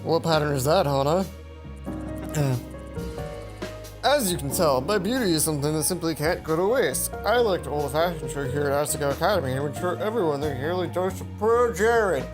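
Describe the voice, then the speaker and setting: A let's play host voicing a vain, smooth, self-admiring high-school boy model in a visual novel. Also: lively intonation, a little fast, pauses often, close to an everyday voice. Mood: smug, suave. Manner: smug, showy. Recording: music under the voice.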